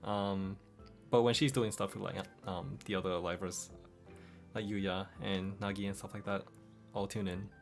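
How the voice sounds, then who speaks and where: A young man with a mixed Australian and American accent, chatting casually as a streamer reading viewer comments, relaxed and quick to laugh, over faint background music. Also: low voice, creaky. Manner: relaxed, laughs often, trails off softly. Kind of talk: casual late-night chat reading comments.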